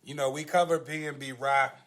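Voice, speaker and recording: A man's voice: medium pitch, steady tone, a little fast, crowd noise. An American man hosting a casual podcast, talking in slang and prompting his co-hosts with questions. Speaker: podcast host steering the discussion.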